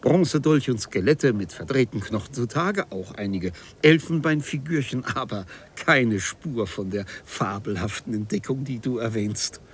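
A man as a polite bookseller, very animated intonation. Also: medium pitch, normal speed, hardly any pauses, natural voice. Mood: eager, formal. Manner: hesitant, formal, mirrors archaic address. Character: polite, eager Parisian bookseller meeting a time traveller. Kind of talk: German radio play acting. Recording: 1970s radio play with audible noise.

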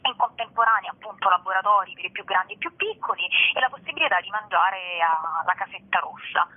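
A woman, speaking as an event organiser and giving information in an interview, with hesitant pauses. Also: mid-range voice, lively intonation, breathy edge. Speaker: festival organiser and spokesperson. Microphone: telephone. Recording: muffled, tinny telephone line.

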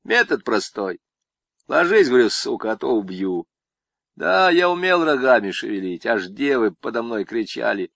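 A man voicing a swaggering young male convict who brags in slangy, drawn-out jabs. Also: mid-range voice, big pitch swings, vocal fry, fairly bright tone, no room sound, exaggerated voice. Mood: boastful.

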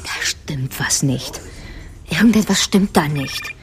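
An actress playing a brisk, sharp-minded woman helping with an investigation, matter-of-fact and insistent, in a radio crime drama. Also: low voice, lively intonation, heavy background noise.

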